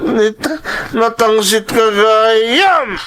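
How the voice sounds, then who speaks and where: A man's voice: very high-pitched, drawn-out words, fairly bright tone, full character voice. A man with an Ilocano accent acting a drunk, domineering young husband in an audio drama, drawling and belligerent. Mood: menacing, angry.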